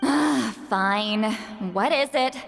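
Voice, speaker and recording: A woman's voice: on the high side, extremely slow, gravelly, fairly bright tone, full character voice. A woman playing an aloof, self-assured, slightly prickly young shaman in a video game, with a dry, offhand delivery. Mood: grudging, challenging.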